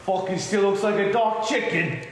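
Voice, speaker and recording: Man playing high-pitched terse biker, heavy echo. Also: moderate pace, natural voice. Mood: mocking. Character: laconic, dry male biker mercenary friend. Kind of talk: amateur comedic script table read. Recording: very echoey room with background noise.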